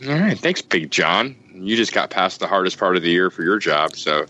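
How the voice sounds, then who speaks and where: A man's voice: low-pitched, sing-song, normal speed, pushed and bright, muffled recording. A man co-hosting a podcast, bantering casually and tossing in trivia. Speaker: podcast co-host.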